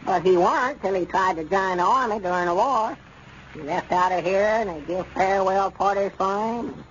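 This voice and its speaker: High-voiced, folksy old country storekeeper. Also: male voice, normal speed, a bit bright. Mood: worried. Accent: rural Southern US. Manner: hesitant, stammering, folksy. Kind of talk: old-time radio comedy acting. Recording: dull, noisy old radio recording.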